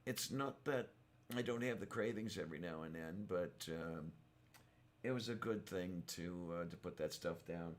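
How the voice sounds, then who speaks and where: A man hosting a casual livestream chat, rambling and halting, his voice hoarse from a sore throat. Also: deep voice. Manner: rambling, halting, many fillers. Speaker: livestream host.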